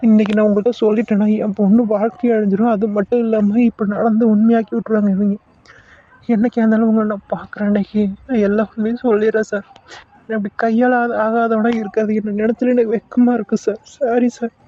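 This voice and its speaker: Man acting as a conscientious junior doctor, pleading, deferential, very high voice, dull lo-fi audio. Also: flat delivery, quick pace. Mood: remorseful. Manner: earnest, pleading, keeps saying sir.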